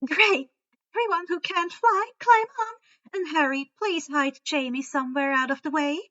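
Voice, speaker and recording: Bossy teen girl leader played by a woman, impatient, high and bright, storybook reading. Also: normal speed, dry recording, muffled recording. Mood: impatient, commanding. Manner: commanding, impatient. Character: brisk, bossy teenage girl leading her friends.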